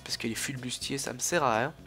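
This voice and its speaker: A man's voice: medium pitch, a little fast, sharp tone, slightly rough, soft background music. A young man with a cold who coughs now and then, chatting casually and rambling through his commentary on a strategy game, full of fillers and restarts. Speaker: gaming YouTuber.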